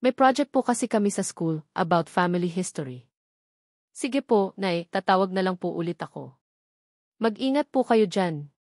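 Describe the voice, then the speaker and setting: A woman storyteller plays an anxious young Filipina in a romance audio story. She speaks hesitantly and deferentially, dodging and covering up, in a mid-pitched voice with a dry sound. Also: expressive, moderate pace, a little mellow, no room sound. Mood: evasive, flustered.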